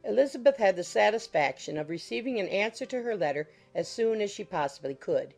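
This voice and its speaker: Mid-pitched woman narrating over soft music. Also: lively intonation, brisk, creaky. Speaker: audiobook narrator. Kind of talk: scripted literary narration.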